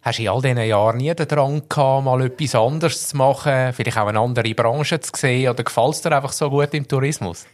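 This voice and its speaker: Brief: male host, friendly questioning, mid pitch. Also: fairly quick, hardly any pauses. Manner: friendly, asks long questions. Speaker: podcast host, interviewer. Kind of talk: interview questions.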